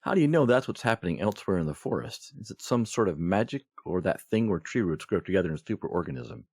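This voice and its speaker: Low husky man, lively intonation. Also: quick pace, no echo, clean studio sound. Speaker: podcast performer playing himself in a comedy skit. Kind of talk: comedic audio drama acting.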